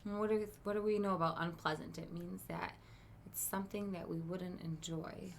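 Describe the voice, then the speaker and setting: A woman teacher reading a storybook aloud to children, expressive, with chatty asides to her listeners. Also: lowish voice, moderate pace, vocal fry, slight background noise. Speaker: elementary school teacher.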